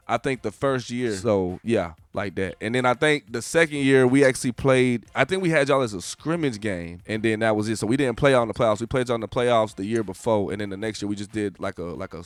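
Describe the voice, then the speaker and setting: A man's voice: medium pitch, a bit bright, dry recording. A man hosting a casual radio interview, talking in a laid-back, slangy way and often repeating his phrases. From Columbus, Ohio. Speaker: radio show host.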